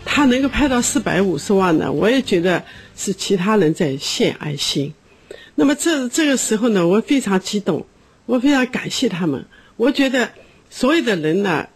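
A schoolteacher speaking earnestly in an interview, often pausing with hesitations. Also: female voice, medium pitch, breathy edge. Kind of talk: interview testimony.

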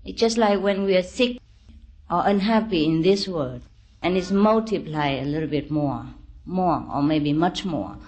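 Slow-speaking woman giving a spiritual discourse. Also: fairly low pitch. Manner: deliberate, halting phrasing with gentle reassurance. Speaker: spiritual teacher.

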